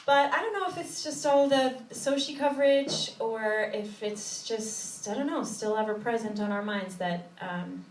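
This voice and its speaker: Woman chatting from stage, echoey and distant. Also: fairly high pitch. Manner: informal and halting, thinking aloud. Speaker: cabaret singer introducing a song to the audience. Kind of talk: casual live stage banter. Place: live cabaret stage in a theatre. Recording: echoey room, distant audience recording, faint noise.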